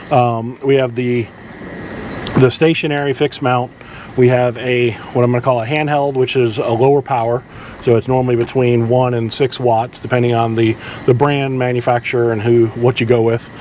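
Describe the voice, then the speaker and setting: A man presenting informal instructional boating tips as a charter captain, explaining in a halting way, recorded in the tower of a boat. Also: medium pitch, darkish tone, a little hiss or hum. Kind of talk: informal instructional video talk. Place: outdoors in the tower of a sportfishing boat.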